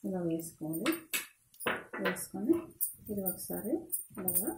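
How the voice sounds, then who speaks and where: An older woman giving homely, unhurried step-by-step instructions at her kitchen stove. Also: on the low side, lively intonation, very noisy. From India. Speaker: home cook. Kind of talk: cooking demonstration voice-over. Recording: echoey kitchen with sizzling pan. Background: frying and sizzling.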